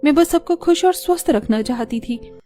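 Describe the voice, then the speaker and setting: A woman voicing a gentle, traditional young daughter-in-law in a cartoon, speaking softly and earnestly. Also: sits high, animated, normal speed. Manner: soft and earnest. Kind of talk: cartoon voice acting.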